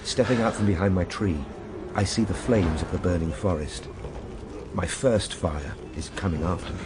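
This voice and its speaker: A man's voice: deep voice, moderate pace, noisy background, close to an everyday voice. A man playing a time traveler recounting his ordeal in solemn, literary radio drama narration. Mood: urgent. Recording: radio drama over loud background ambience.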